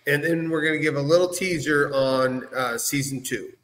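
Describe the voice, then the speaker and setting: A man's voice: fairly high pitch, normal speed, a bit bright, rough recording. A man co-hosting a podcast recap, chatting casually and rambling with repeated words.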